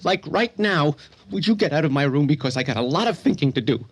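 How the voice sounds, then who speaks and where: An actor playing a sly, vengeful, disturbed son in a radio drama, swinging between needling mutters and excited outbursts. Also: male voice, a little high, quick pace, slight background noise. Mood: mock-polite defiance. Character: disturbed grown son arguing with his own other self, sly, vengeful.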